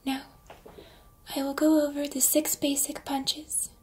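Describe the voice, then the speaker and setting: A woman playing a calm, exacting combat robot trainer in soft, often whispered ASMR roleplay, with formal, precise instructions. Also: high-pitched, a little slow. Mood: calm, instructive.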